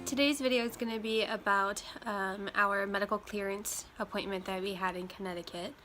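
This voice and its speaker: A woman's voice: mid-range voice, fairly even intonation, fairly quick, sharp tone, soft background music. A young woman from Iowa, slightly nasal from a chest cold, chatting casually to camera with plenty of ums. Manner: chatty, many ums, loose and rambling. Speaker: vlogger. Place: home room with windows open. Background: faint road traffic and wind chimes.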